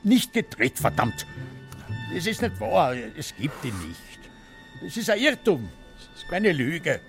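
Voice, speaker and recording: Confused old austrian director, slow and halting, pitch jumps all over, stubborn. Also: male voice, very animated, vocal fry, faint music behind, heavily acted. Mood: agitated denial. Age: older. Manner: hesitant, halting, repeats himself. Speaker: actor. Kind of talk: radio drama acting.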